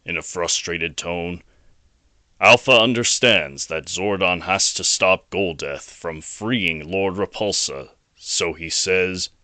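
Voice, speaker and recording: A man's voice: deep voice, slow pace, pushed and bright, dry recording. A man narrating a scripted action audio drama as a storyteller. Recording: noisy home recording.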